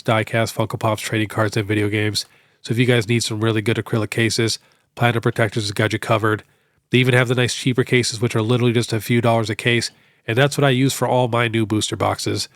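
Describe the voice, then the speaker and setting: A young man with a stuffed-up nose from a cold, hosting a casual question-and-answer show in a relaxed, chatty way full of fillers. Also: mid-range voice, no echo, studio quality. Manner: relaxed, chatty, many fillers like "you know". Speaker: host. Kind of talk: casual Q&A on card collecting.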